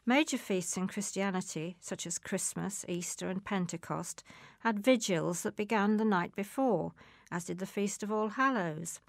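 A woman presents a scripted, informative piece in a measured, explanatory way. Her voice sits at a middling pitch with noticeable creak, and the recording is close and dry, with very little room around it. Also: no room sound. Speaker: magazine programme presenter.

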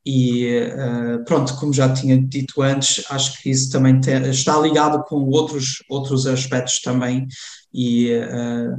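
Young man, guest chatting casually, halting and full of fillers, echoey room. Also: medium pitch, flat delivery, darkish tone. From Germany. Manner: hesitant, many fillers and restarts. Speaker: interview guest. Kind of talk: casual conversation. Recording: roomy echo.

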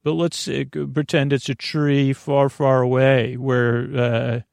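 A man with a creaky voice hosting a bedtime podcast, soothing and whimsical, meandering and halting as he interrupts himself. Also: medium pitch, level tone, a little mellow, no echo, clean studio sound. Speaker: podcast host. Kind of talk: rambling bedtime monologue.

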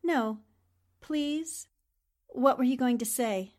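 An actress playing a brisk, determined newspaperwoman in a 1940s-style radio drama, asking questions in a crisp, probing, composed manner. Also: high voice, a little mellow. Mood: intent. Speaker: voice actor in an audio drama.

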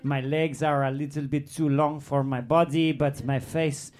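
A man's voice: a little high, level tone, normal speed, a little mellow, lightly acted. A man voicing a happy, childlike French bulldog in a put-on, wobbly French accent for live improvised comedy. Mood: happy, rambling. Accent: put-on French, slipping toward Eastern European. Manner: exuberant, childlike, broken English, breaks character self-mockingly. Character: happy, excitable male French bulldog, movie star's adoring pet. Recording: noisy live comedy recording.

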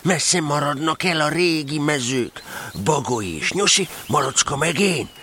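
A man voicing a naive, good-natured little bear in a children's audio drama, earnest and husky. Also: on the high side, lively intonation, sharp tone, a little hiss or hum. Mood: proud, reciting.

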